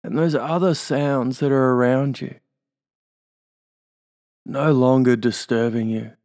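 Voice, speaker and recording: A man's voice: mid-range voice, steady tone, slow pace, darkish tone, no echo, clean studio sound. A man with an Australian accent leading a guided hypnosis induction, giving soothing suggestions and tag questions.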